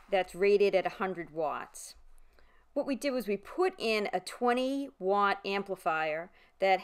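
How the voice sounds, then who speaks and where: A woman's voice: medium pitch, smooth. Curious young woman co-hosting a DIY video. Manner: playful, laughing, curious. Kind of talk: casual chat and asking questions.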